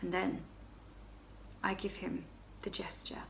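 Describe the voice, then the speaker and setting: Flustered young baroness, stammering and breathless, read by a woman, with a pitch that leaps around. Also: animated, normal speed, a bit bright, slight background noise, low-quality recording. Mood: confiding.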